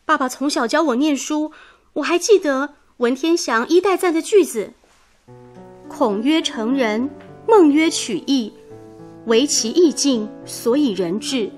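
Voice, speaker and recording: An actress playing an earnest, idealistic young daughter in a radio drama, speaking with sensitive, pleading emotion. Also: female voice, high-pitched, expressive, measured pace, darkish tone, soft background music. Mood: solemn resolve.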